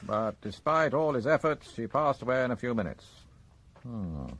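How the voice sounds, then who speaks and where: An actor playing a terse, authoritative British chief inspector in a radio crime drama, probing with clipped questions. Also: male voice, mid-range voice, animated, normal speed, a bit bright. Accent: British English.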